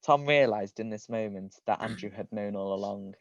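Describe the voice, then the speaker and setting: A man's voice: fairly low pitch, normal speed, no room sound. Man reading aloud, dull close-up sound. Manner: often breaks into giggles. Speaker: reader. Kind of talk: reading comedic fan fiction aloud on a livestream.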